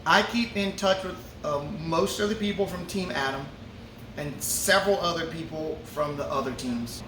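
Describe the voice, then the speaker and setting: A man's voice: fairly high pitch, normal speed. Laid-back man in an echoey brewery. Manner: laid-back, joking, many fillers. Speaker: musician answering fan questions. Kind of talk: casual Q&A chat. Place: brewery before a show. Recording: roomy echo, from a distance, ambient noise.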